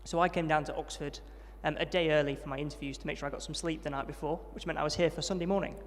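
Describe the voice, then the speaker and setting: Fast-talking young man in a hall. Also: a little high, fairly even intonation, a little hiss or hum. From Yorkshire, England. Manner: friendly, self-deprecating, fast storytelling with many ums. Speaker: interviewee, trainee vicar. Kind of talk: testimony interview at a student event.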